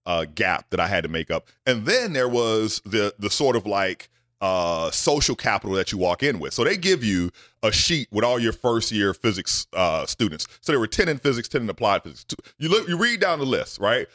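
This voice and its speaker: A middle-aged man from the United States, a scientist guest telling his story in an animated way, often checking in with "right?". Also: fairly low pitch, moderate pace, no room sound, clean studio sound. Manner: animated, with many repeated "right?" asides. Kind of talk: storytelling in a podcast interview.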